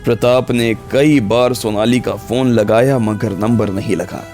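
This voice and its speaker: A male audiobook narrator with a medium-pitched voice. He tells a scripted story in drama form. Faint music runs underneath. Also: a little slow, darkish tone.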